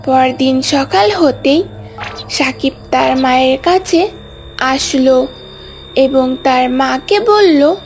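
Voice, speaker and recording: High-pitched, slow woman storyteller. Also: faint music behind. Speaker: narrator. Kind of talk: scripted cartoon story narration.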